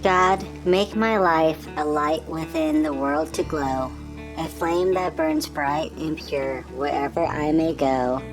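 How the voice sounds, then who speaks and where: A woman's voice: low voice, expressive, slow pace, over music, exaggerated voice, rough recording. A woman voicing a friendly, eager young male puppet on a children's show, speaking simply and earnestly. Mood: gentle, earnest. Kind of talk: children's show dialogue, scripted.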